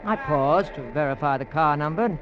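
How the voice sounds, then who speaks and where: An actor playing an earnest American oil engineer with an American accent, telling his adventure in measured old-time radio drama style. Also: a little high, loud music behind the voice, close to an everyday voice. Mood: earnest, suspenseful. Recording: muffled vintage radio recording.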